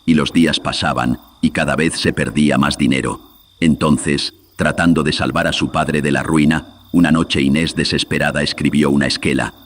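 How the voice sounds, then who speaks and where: A male narrator with an extremely deep, filtered voice. He tells a horror radio drama solemnly and dramatically, over faint ambient noise. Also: fairly even intonation, moderate pace. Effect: deepening voice filter.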